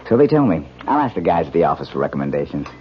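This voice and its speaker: Very deep-voiced coaxing American husband. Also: male voice, sing-song, rapid-fire. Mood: placating. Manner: coaxing, casual, affectionate. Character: smooth-talking young husband on honeymoon, outwardly affectionate. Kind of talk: 1970s radio drama acting. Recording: dull radio recording with faint noise.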